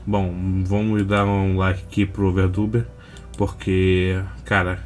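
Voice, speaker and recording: A man's voice: sits low, flat delivery, slow pace, faint music behind. A man with a Brazilian accent hosting a reaction video in laid-back, slangy, casual chat.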